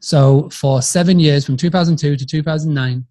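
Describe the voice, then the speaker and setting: A man's voice: on the high side, steady tone, moderate pace, mellow. Chatty Yorkshire man telling his story. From England, Yorkshire. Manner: chatty, friendly, frequent restarts and repeated words. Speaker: podcast guest. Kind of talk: casual storytelling interview.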